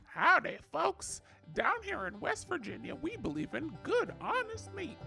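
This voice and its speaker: A woman's voice: extremely high pitch, huge pitch swings, unhurried, music in the background, exaggerated voice. A woman voicing a folksy, sinister troll butcher with a rural Southern American accent in a parody commercial. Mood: folksy sly. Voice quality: creaky.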